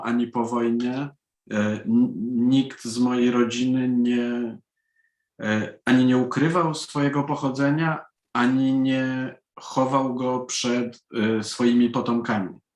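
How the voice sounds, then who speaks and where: A man from Poland, an author, answering interview questions at a live event. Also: mid-range voice. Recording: roomy, echoing sound.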